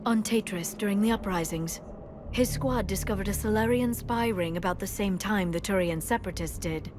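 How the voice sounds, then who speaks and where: A woman playing a calm, learned alien intelligence expert in a video game, speaking in a measured, articulate way. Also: medium pitch, lightly acted. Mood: calm, informed. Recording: noisy battlefield ambience behind voice.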